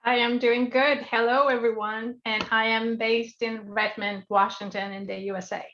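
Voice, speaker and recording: A woman guest talks casually on a webinar call. Her voice is a touch high and stays very level in pitch. The audio is a little dull, as over a video call. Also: flat delivery, moderate pace, a bit bright. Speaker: webinar guest speaker, senior project manager. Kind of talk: casual greeting and small talk on a webinar. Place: online call.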